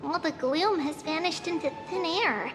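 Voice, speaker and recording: Very high, childlike demigod girl. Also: music in the background. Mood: bright, gentle. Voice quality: childlike, light voice. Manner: unhurried, speaks of herself as "we". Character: small girl demigod, childlike, cheerful, calmly accepting. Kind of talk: video game voice acting.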